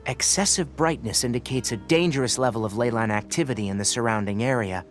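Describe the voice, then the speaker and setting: An actor playing a calm, scholarly young male researcher in a video game, articulate and measured as he explains. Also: medium pitch, faint music behind, lightly acted. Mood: calm, analytical.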